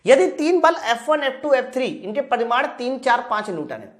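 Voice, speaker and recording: A woman teaches an exam-prep class in a high, very lively voice. She talks straight to her students, repeats key points and often poses a question before answering it herself. Her pitch swings widely as she explains. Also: fairly quick. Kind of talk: live lesson working through practice questions.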